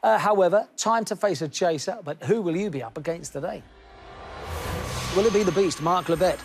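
A man presenting a television quiz, his voice sitting notably high for a man. He keeps things moving with playful jokes and teasing asides. Faint music plays behind him in the studio. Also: normal speed. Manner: jokey, teasing banter. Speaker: quiz show host. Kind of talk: upbeat game show presenting.

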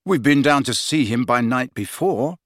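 A man's voice: medium pitch, lively intonation, moderate pace, no echo, clean studio sound, lightly acted. A man voicing a reserved, slightly weary teenage schoolboy in an understated, wry way. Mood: resigned.

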